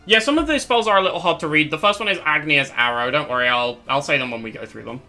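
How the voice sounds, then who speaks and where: Young man, live streamer, cracking voice, losing his voice, rambling casual chat, bright tone. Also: on the high side, fast talker. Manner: rambling, self-correcting, chatty. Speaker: streamer hosting a tier list stream. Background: faint background music.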